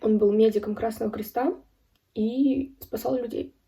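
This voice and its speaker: Dark-voiced young woman, solemn and halting. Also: a little high, fairly even intonation, far from the mic. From Ukraine. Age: early 20s. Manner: serious, solemn. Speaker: video blogger. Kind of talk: personal account spoken to camera.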